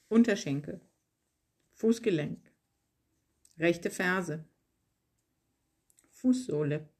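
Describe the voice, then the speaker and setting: A yoga nidra guide reading a scripted relaxation in calm, measured short phrases with pauses. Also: medium pitch. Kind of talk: slow guided relaxation meditation, read from a script.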